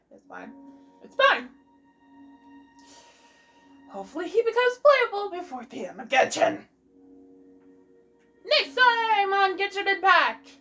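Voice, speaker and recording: A woman's voice: very high-pitched, sing-song, slow, pauses often, a bit bright. A woman hosting a let's play, giving casual commentary on a video game. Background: music.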